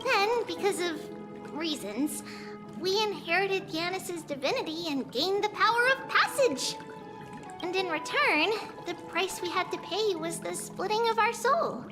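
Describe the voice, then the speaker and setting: A little demigod girl from a video game, sweet-tempered and cheerful. Her childlike voice sits extremely high and she takes her time with each line. Music plays clearly behind her. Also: animated. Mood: matter-of-fact, resigned. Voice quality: childlike, light voice. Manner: unhurried, speaks of herself as "we".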